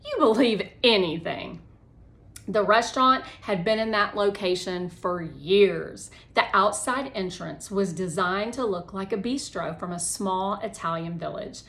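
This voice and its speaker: A woman reads a children's novel aloud with expressive, animated delivery. Her voice sits at an average pitch for a woman. She hosts the read-aloud and brings the dialogue to life. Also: a little slow, hardly any pauses.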